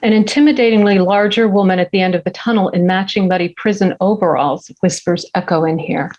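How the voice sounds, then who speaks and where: A woman's voice: mid-range voice, fairly even intonation, brisk, a little mellow. A woman reading scripted screenplay stage directions as narrator at a table read. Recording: dull, lo-fi remote audio.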